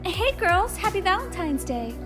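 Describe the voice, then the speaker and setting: Woman as a very squeaky, flustered teenage schoolgirl. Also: sing-song, normal speed, over music. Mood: cheerful. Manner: flustered, apologetic. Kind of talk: fan audio drama acting.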